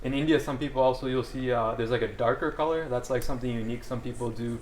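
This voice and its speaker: A man's voice: medium pitch, fairly even intonation, quick pace, slight background noise. A man giving casual cooking instructions in an informal, slightly hesitant way. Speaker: guest cooking demonstrator.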